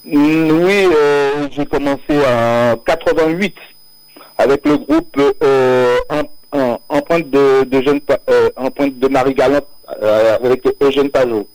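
A singer from Martinique, born near Paris, talking as a guest on a live radio show, hesitant, with many fillers and restarts and frequent laughs. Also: male voice, mid-range voice, lively intonation, measured pace, a little mellow, dry recording, rough recording. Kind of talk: live radio interview, casual chat. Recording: radio broadcast audio.

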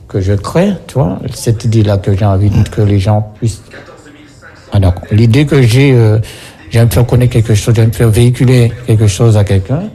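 A man's voice: lowish voice, dark tone. A man in casual conversation, explaining things as a guest and often repeating and rephrasing himself. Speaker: guest, songwriter for singers.

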